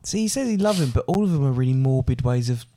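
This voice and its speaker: A man taking a quiz on a casual podcast, thinking aloud with hesitant pauses. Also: mid-range voice, lively intonation, mellow, no room sound. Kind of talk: casual chat.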